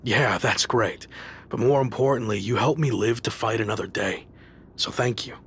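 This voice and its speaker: A determined male pro wrestler, voiced by an actor for a video game story. He talks in an average-pitched voice with dry understatement, as a team leader would. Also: moderate pace, fairly bright tone, slight background noise, close to an everyday voice. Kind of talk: video game cutscene dialogue.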